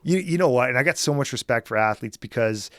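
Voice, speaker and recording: A man's voice: mid-range voice, lively intonation, fast talker, fairly bright tone, no echo, clean studio sound. A middle-aged man from Edmonton, Canada, chatting casually and enthusiastically as an interview guest, often adding "man" and "right?". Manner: easygoing, enthusiastic, peppers speech with "man" and "right?". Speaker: guest, former basketball team executive.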